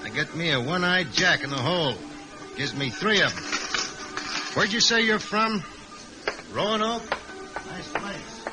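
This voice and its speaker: Chatty western poker player, highish folksy voice, old radio show, music playing. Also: male voice, animated, a little slow, pauses often, rough recording. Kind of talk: 1950s radio drama acting. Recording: vintage radio recording with music.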